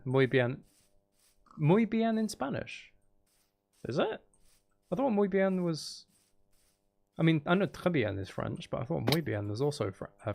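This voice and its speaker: A man's voice: on the high side, creaky, darkish tone. A man hosting a casual gaming livestream, chatting in a playful, teasing, rambling way and sounding a little tired. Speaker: livestream host.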